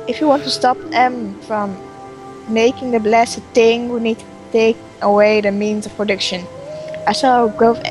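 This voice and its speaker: Woman haltingly reading game text. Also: a little high, low-quality recording. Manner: reads game text haltingly with small slips. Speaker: co-host reading quest text aloud. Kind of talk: casual group chat over gameplay.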